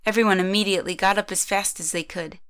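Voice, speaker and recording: A woman's voice: mid-range voice, fairly even intonation, fast, a bit bright, clear, resonant tone. A woman reading scripted third-person narration for an amateur audio drama.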